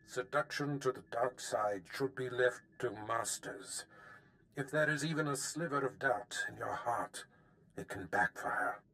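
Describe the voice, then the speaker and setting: Scheming, imperious Sith Lord. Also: male voice, mid-range voice, a little slow, pushed and bright. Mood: calculating, wary. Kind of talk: video game voice acting.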